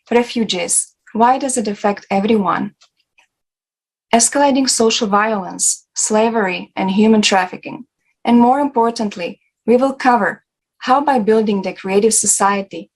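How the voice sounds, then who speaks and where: A woman's voice: medium pitch, low-quality recording. A woman co-hosting an international online discussion in a scripted presenting style.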